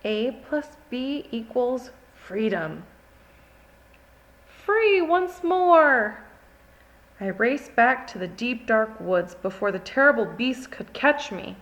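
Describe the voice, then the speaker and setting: A haughty little furry forest creature, voiced by a woman, tells its side of a picture-book tale. It sounds high and highly animated, dwelling slowly and dramatically on each grievance. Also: full character voice. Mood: triumphant.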